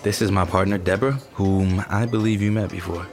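Man acting an eager, low-voiced series pitcher. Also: fairly even intonation, fairly quick, music in the background, natural voice. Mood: eager, placating. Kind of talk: audio fiction drama acting.

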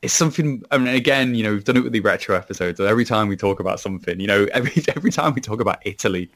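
A man's voice: on the low side, lively intonation, racing, fairly bright tone. A Welsh man, a podcast co-host, chatting casually about football and rambling with many restarts. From Wales. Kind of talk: casual chat.

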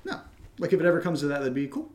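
Podcast co-host, male, young adult. Slightly high register, loose and joking, reads out questions and riffs conversationally. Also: sing-song, very fast, darkish tone, a little hiss or hum. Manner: playful, joking, informal. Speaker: podcast co-host, actor and writer. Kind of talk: casual chat answering listener questions.

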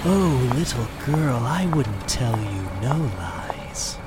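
A man playing a pushy, creepy guy coaxing a young woman into his car in a comic audio drama, wheedling with drawn-out words and hammy chuckles. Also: mid-range voice, very animated, loud music behind the voice, voice pushed down. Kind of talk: comic audio drama made from song lyrics.

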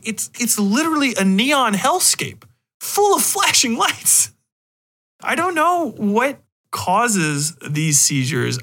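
A man's voice: high-pitched, sharp tone. A man hosting a podcast, talking casually and emphatically with blunt opinions and frequent swearing. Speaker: podcast host.

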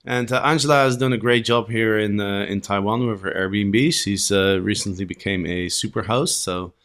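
A man's voice: on the low side, fairly even intonation, normal speed, a bit bright. A man hosting a casual podcast interview, talking loosely with many fillers. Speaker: podcast host. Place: in a room in a city abroad.

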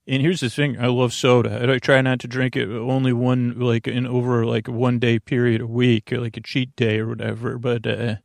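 A middle-aged American man speaks in a creaky, gravelly voice at an ordinary male pitch. He meanders through a bedtime podcast monologue, halting, repeating words and drifting off mid-thought. The sound is dry, with no room echo. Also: little pitch movement. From United States. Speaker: podcast host.

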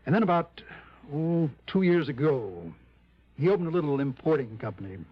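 A harried American insurance manager in old-time radio style. He grumbles conversationally and hesitantly in a slightly high voice. The recording is dull and dated. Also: animated, moderate pace, no echo. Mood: grumbling, confiding. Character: harried, exasperated male insurance office manager. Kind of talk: 1950s-60s American radio drama acting.

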